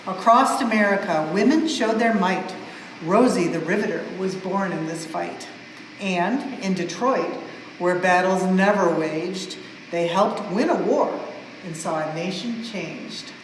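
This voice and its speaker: A woman reads a storybook aloud for children with expressive, measured emphasis on the rhymes. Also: lowish voice. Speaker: museum staff member reading a story aloud. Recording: very echoey large hall, some noise.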